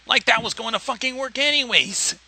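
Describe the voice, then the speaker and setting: A man's voice: very high-pitched, fairly quick, sharp tone. A man co-hosting a livestream, bantering casually in a blunt, sweary way. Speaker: livestream co-host commenting on a story.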